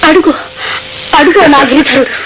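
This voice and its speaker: Woman acting as young devotee heroine, high voice, fervent, reverent, formal radio play delivery, faint background noise. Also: moderate pace, close to an everyday voice. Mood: ecstatic.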